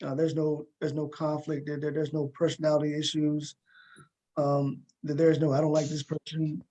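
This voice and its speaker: A man's voice: fairly high pitch, completely flat delivery, normal speed, warm tone. A man hosting a casual panel interview, often repeating words as he starts his sentences. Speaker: talk show host and actor.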